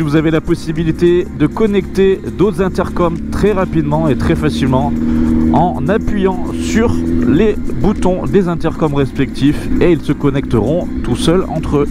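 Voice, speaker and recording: Higher-pitched man over loud music. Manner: enthusiastic, informal, addressing viewers. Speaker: motorcycle gear reviewer and vlogger. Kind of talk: casual product review voice-over.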